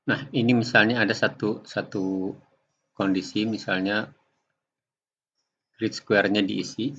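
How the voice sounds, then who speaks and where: A man giving an unscripted software tutorial, explaining step by step in a halting way. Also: lowish voice, fairly even intonation, slightly rough. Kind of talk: unscripted screen-recording tutorial. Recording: dull lo-fi home recording.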